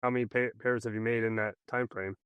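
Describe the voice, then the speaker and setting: A man hosting a podcast, asking questions in a relaxed, informal way. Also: mid-range voice, fast, clear, resonant tone, dry recording, highs cut off. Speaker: podcast host. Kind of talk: casual interview questions.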